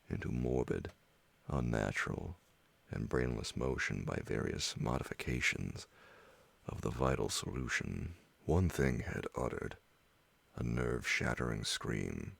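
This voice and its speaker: A man with a husky, breathy voice reads a gothic horror story for ASMR listeners. He moves slowly through short, deliberate phrases. The sound is dry and close, with no room around it. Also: extremely low pitch, no room sound. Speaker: storyteller reading a horror tale. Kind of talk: slow ASMR bedtime narration of gothic horror.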